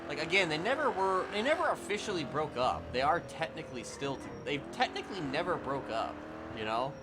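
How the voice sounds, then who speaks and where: A man's voice: on the high side, sing-song, fairly quick, over music. A man giving casual live-stream game commentary, musing aloud in a rambling way and repeating himself.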